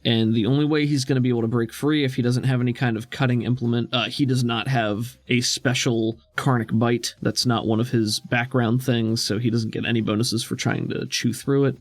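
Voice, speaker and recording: A man's voice: medium pitch, flat delivery, fairly quick, hardly any pauses, no room sound. A man working as game master, narrating and explaining a tabletop game in a casual, conversational way.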